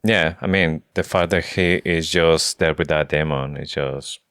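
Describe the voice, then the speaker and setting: A man with an extremely deep voice speaks as a podcast co-host, in a dry recording with no room sound. His English phrasing is non-native, and he talks casually as in a book discussion. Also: measured pace, a bit bright, smooth, no echo.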